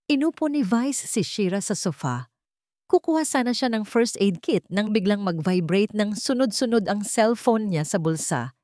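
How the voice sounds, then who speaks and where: A woman's voice: medium pitch, a little mellow, dry recording, clean studio sound. A woman giving a scripted, dramatic narration of a romance story.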